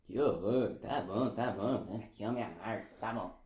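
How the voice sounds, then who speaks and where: A woman's voice: a bass voice, mellow, a little hiss or hum. A woman playing a dim, good-natured, puzzled boy in an audio drama, hesitant and drawling. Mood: reluctant. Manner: hesitant, slow-witted, drawling. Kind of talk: audio drama stage acting. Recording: roomy, echoing sound.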